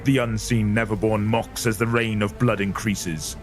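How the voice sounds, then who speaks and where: A man reading dramatic scripted narration for a dark audio story. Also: fairly low pitch, fairly even intonation, moderate pace. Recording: narration over loud music.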